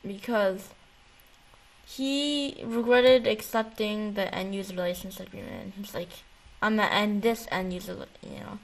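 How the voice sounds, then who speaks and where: A woman chats on a gaming podcast in a medium-pitched voice. Her delivery is loose and unscripted, with words repeated as she finds her way, and she swears casually. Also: steady tone, a little slow, poor audio. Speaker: podcast co-host.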